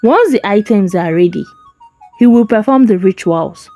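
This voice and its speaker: A woman's voice: medium pitch, animated, normal speed, mellow. A woman narrating a folktale as a storyteller, in a dramatic scripted style. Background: faint music.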